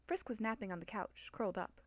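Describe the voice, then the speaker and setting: A woman's voice: mid-range voice, fairly quick, dry recording. Woman narrating a story, dry recording. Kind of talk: scripted story narration, dramatic reading.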